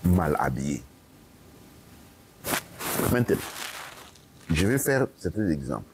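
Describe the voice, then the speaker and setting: A man with a very low, gravelly voice lectures in an interview, swinging his intonation widely. He drives his points home by repeating them and tossing in "ok?" to check he is followed. A faint hiss sits under the recording. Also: sing-song, slow pace. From Italy. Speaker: guest speaker.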